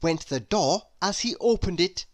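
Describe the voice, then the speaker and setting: A storybook narrator reading a children's tale aloud in an animated, expressive way. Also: medium pitch, normal speed. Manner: animated, expressive, with emphatic sound words. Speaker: storybook reader.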